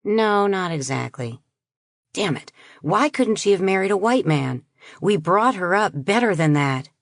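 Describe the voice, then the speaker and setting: A man's voice: sits high, lively intonation, measured pace, creaky, no room sound, studio quality, pitched above the performer's own voice, exaggerated voice. A woman voicing a stiff, prejudiced middle-aged husband who talks in a stubborn, evasive way.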